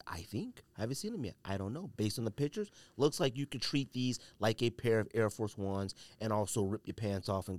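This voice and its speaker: Emphatic American man with animated pitch swings. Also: no room sound. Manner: emphatic, repeats phrases for stress; colloquial, slangy. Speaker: podcast host. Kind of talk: opinionated solo sports and sneaker commentary.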